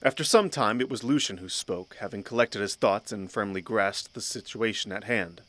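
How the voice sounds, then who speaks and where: A man with a low-pitched voice narrates a fantasy audio drama. He reads scripted prose as a storyteller, with music playing behind him. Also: moderate pace, a bit bright, no room sound.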